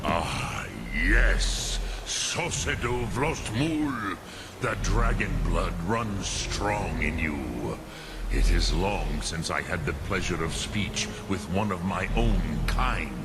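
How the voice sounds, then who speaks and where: A male actor playing an ancient, wise dragon in a fantasy video game, patient and weary, with a gravelly voice and slow, formal delivery. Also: low voice, expressive, heavy background noise, full character voice. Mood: knowing, welcoming. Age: older. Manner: slow, deliberate, formal, with long pauses.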